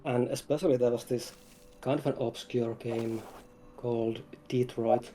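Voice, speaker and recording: A man's voice: medium pitch, steady tone, unhurried, mellow, faint music behind. A man talking as a game developer guest in a casual livestream interview, in hesitant, broken phrases.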